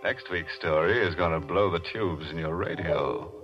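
An actor playing a gleefully morbid, mock-solemn horror host in the style of 1940s radio. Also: male voice, low-pitched, normal speed, soft background music, close to an everyday voice. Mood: gleefully ghoulish. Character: ghoulish, gleeful male horror host cracking morbid jokes. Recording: dull old radio recording.

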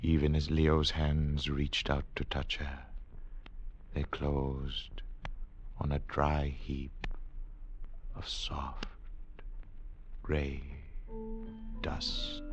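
A noisy vintage radio recording holds a grave adventurer with an extremely deep voice. He tells his haunting tale slowly and solemnly, in the dramatic manner of old radio narration. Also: completely flat delivery, lo-fi sound, heavily acted. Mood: mournful, hushed. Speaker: narrator character.

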